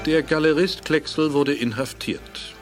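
A man's voice: on the high side, unhurried, music in the background, natural voice. A man narrating a German audio drama in a composed, scripted storytelling style.

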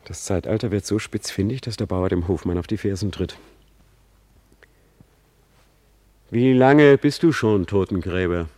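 A man acting a brooding, ironic young prince in a classical radio drama, with measured, articulate, dramatic delivery. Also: fairly low pitch, warm tone, no echo, close to an everyday voice. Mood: curious, ironic.

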